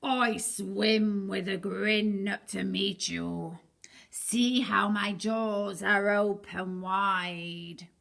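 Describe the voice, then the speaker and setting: Woman as a slow, sly, menacing storybook shark. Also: mid-range voice, steady tone, vocal fry, a bit bright, exaggerated voice. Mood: sly menace. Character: sly, menacing grinning shark luring prey.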